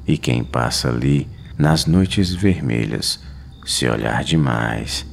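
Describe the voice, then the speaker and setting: A man's voice: rumbling low, slow pace, vocal fry, a little hiss or hum. A Brazilian man telling a scripted horror story, solemn and suspenseful, in short weighted phrases. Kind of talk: dramatic scripted horror narration. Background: music.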